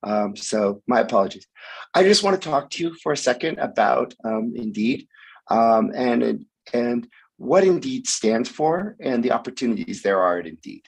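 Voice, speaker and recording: A man speaking hoarsely with a raspy voice while sick with the flu, giving a halting sponsor talk over a video call with many ums. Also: medium pitch, animated, low-quality recording. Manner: halting, frequent ums, occasional cough. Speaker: sponsor speaker at hackathon opening. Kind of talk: online event speech.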